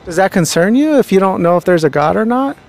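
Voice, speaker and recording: Man, young adult, street interviewer, preachy, earnest, outdoors, handheld mic, somewhat high pitch. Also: animated, quick pace, darkish tone. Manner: earnest, preachy, many fillers and restarts. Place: outdoors on a city street by a restaurant.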